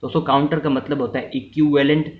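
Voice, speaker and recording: A man's voice: on the high side, level tone, fast. A man with a Pakistani accent giving an explanatory law lecture, mixing in English terms and restating each point in a didactic way. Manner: didactic, repeats and rephrases points, checks in with listeners. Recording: muffled, roomy echo, background noise.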